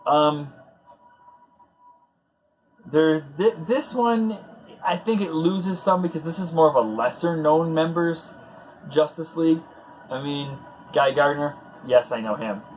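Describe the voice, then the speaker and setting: A man's voice: fairly high pitch, takes it slowly, pauses often, a little hiss or hum, poor audio. A man hosting a comic book read-along, giving casual commentary in a rambling, conversational, fan-like way.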